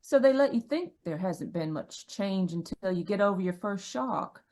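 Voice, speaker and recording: A woman acting in a radio mystery as a gentle, reassuring dead wife, soothing and polite. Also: medium pitch, expressive, normal speed, natural voice.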